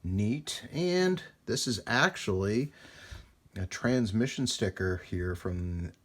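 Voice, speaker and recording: A man's voice: on the low side, animated, takes it slowly. A man hosting a casual hands-on demonstration of vintage radio gear, talking in a rambling, folksy way with hesitations as he works. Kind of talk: casual hands-on vlog narration.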